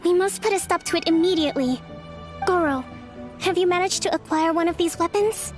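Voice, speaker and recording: A young female resistance leader in a game, composed and decisive. Her voice is extremely high yet formal and measured in its authority, with faint music underneath. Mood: grave, decisive. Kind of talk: video game voice acting.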